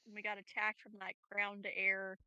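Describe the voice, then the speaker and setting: Female tabletop player, bright forceful voice, informal delivery, dry room-free recording. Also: mid-range voice, completely flat delivery, normal speed, clean tone, no echo, lo-fi sound. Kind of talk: casual table chat.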